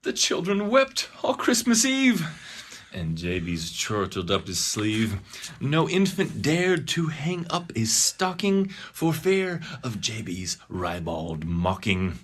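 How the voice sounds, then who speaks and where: A man's voice: medium pitch, very animated, slow. A man narrating a rhyming storybook poem in a dramatic, theatrical manner. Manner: dramatic, swooping delivery.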